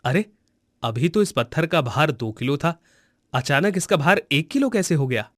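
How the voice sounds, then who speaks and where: Humble pious butcher voiced by a man for an animated moral story. Earnest and wondering, lightly raised male pitch, dry booth. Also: moderate pace, no room sound. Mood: puzzled, then accepting. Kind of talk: cartoon moral-story voice acting.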